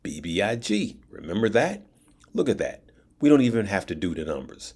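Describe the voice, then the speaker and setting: A man with a deep voice hosting a stock trading channel, giving casual advice and driving his points home by repeating them and checking in with "right?". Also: very animated, normal speed, a little mellow, slightly rough, no room sound. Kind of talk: casual finance advice to viewers.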